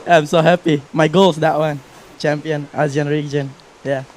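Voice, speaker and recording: A young man giving short, halting answers in simple English as an interviewed athlete. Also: fairly high pitch, little pitch movement, a little mellow. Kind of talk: brief, simple thank-you interview.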